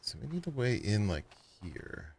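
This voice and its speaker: Sleepy low-voiced man narrating gameplay. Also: normal speed, gravelly, a little hiss or hum. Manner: relaxed, rambling, talks to viewers while building. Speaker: gaming streamer. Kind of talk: casual gameplay commentary.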